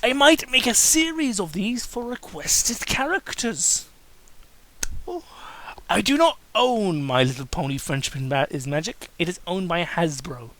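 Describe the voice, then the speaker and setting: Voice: medium pitch, expressive, a little slow, fairly bright tone, rough recording. Someone giving a comedic dramatic reading of amateur fiction, mocking and exasperated, stumbling over the text and breaking off for sarcastic asides. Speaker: host reading fan fiction aloud.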